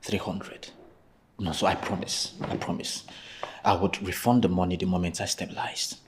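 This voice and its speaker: Deep, gravelly, hesitant Nigerian man pleading. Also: moderate pace, noisy background. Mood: sheepish pleading. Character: embarrassed man begging a friend for money. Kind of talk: screen drama acting.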